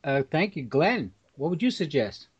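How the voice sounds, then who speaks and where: A man's voice: on the high side, very animated, moderate pace, husky. A man hosting a roundtable podcast in a formal, courteous manner. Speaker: podcast host. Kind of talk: moderated roundtable discussion. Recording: slightly dull, lo-fi audio.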